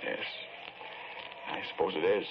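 An actor playing a polite, pleading confessed murderer in a halting, hesitant manner, in 1940s radio drama style. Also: male voice, close to an everyday voice. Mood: calm, courteous. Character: confessed murderer, polite, pleading, softly haunted man in handcuffs. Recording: muffled, noisy 1940s radio recording.

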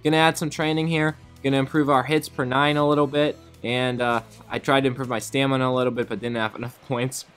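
A man's voice: mid-range voice, flat delivery, fast, hardly any pauses, a bit bright, clean tone, faint music behind. A young man from the Pittsburgh area, a bit nasal while getting over a cold, chatting upbeat play-by-play over his baseball video game. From Pittsburgh area, USA. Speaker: gaming YouTuber. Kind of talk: gameplay commentary.

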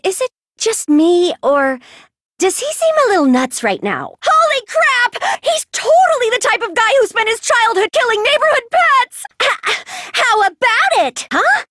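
A woman playing an excitable, dramatic, hot-blooded teenage girl martial artist in an emphatic anime video game style. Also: very high-pitched, no echo, studio quality, exaggerated voice. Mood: uneasy. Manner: emphatic, exclamatory, melodramatic. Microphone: close studio mic, dry.

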